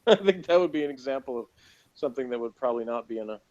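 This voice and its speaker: Guy co-hosting a review chat, halting and argumentative, average pitch, kinda muffled lo-fi audio. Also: animated, darkish tone. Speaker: podcast co-host reviewing TV episodes. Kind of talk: casual discussion. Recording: dull lo-fi audio.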